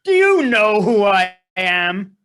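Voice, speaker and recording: Guy playing angry pompous passenger, high voice, demanding, thinks he's important, comedy sketch. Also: animated, slow pace, sharp tone, full character voice. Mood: demanding anger. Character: angry, self-important male airline passenger. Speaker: amateur stage actor.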